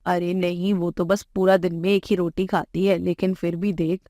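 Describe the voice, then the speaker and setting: A woman's voice: lowish voice, flat delivery, moderate pace, dark tone, no echo, studio quality, close to an everyday voice. A woman voicing a middle-aged mother in a story, downhearted and complaining about her worries. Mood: dejected.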